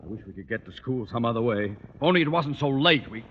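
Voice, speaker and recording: A man's voice: mid-range voice, expressive, fast talker, natural voice. An actor playing a pompous, grumbling middle-aged principal and father in a 1940s radio sitcom, speaking in stuffy, formal phrases. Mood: gloomy dread. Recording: noisy muffled vintage radio recording.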